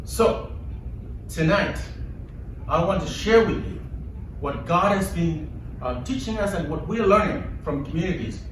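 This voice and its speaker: A man's voice: high voice, lively intonation, measured pace, pauses often, fairly bright tone. A middle-aged man with a Kenyan accent gives a live speech to an audience, telling personal stories emphatically and repeating phrases for rhythm. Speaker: public speaker, leader of an organization. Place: indoor event before an audience. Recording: echoey room, distant mic, background noise.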